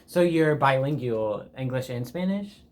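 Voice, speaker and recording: A man's voice: medium pitch, expressive, a little slow, darkish tone, slight background noise. Casual young man interviewer. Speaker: student interviewer.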